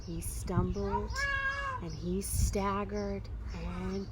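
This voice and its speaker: A woman reading a children's story aloud expressively, with dramatic emphasis, pauses and occasional hushed phrasing. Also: mid-range voice, level tone, heavy background noise. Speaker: storybook reader.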